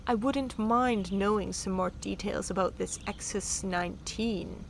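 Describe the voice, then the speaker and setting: Alien-world countess played by a woman: refined and curious, slow delivery with a creaky edge, for sci-fi audio drama. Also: medium pitch, slight background noise.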